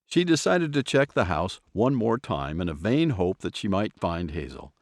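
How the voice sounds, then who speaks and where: A man's voice: low voice, very animated, moderate pace, no echo. A man narrating an audio drama in a suspenseful storytelling manner with dramatic emphasis. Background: music soundtrack.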